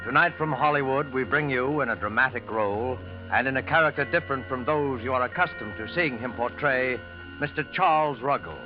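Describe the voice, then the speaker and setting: A man's voice: mid-range voice, natural voice. A man playing a mysterious host of suspense tales in 1940s radio drama, formal and dramatic in delivery. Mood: dramatic, portentous. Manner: formal, dramatic, deliberate showmanship. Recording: dull vintage 1940s radio recording. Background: prominent music.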